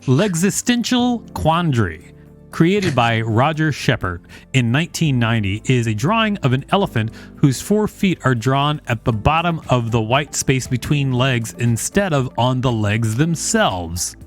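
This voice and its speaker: A man's voice: medium pitch, very animated, a little slow, faint music behind. A man co-hosting a podcast, reading encyclopedia text aloud in a conversational way with casual asides. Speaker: podcast co-host.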